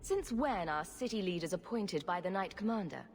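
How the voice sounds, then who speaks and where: A woman's voice: fairly low pitch, lively intonation, normal speed. A woman playing a witty, flippant heroine in a video game, sarcastic and breezily confident. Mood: wry. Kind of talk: video game cutscene acting. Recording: long reverb, faint background noise.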